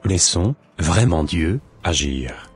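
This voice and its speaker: A man voicing a calm, devout young suitor with a patient, measured manner in a scripted cartoon. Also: sits low, slightly rough, faint music behind, full character voice. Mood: calm, hopeful.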